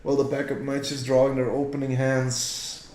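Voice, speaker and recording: A man's voice: mid-range voice, flat delivery, moderate pace, darkish tone, slight background noise. A Belgian man with a Flemish accent, a native Dutch speaker, casually commentating a card game stream in English and chatting with viewers. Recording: roomy echo.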